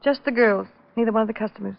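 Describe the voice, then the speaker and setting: An actress playing an anxious, pleading salon owner in an urgent vintage radio drama style. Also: female voice, a little high, quick pace, gravelly. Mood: frightened. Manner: urgent, fretful. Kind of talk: 1940s-50s radio drama acting. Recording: muffled old-time radio recording.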